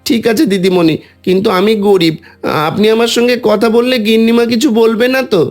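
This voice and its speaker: Man as a high-pitched, earnest young boy. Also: fairly even intonation, brisk, soft background music. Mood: meek. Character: humble, loyal orphaned servant boy in hiding. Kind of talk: Bengali animated story voice acting.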